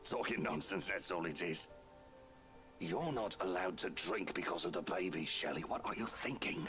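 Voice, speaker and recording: An actor playing an embarrassed, weary husband in a visual novel, placating and gently chiding. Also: male voice, low voice, normal speed. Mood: strained apology. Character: embarrassed, apologetic, weary husband of a pregnant woman. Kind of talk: dramatic visual novel voice acting. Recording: faint game music behind the voice. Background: game music.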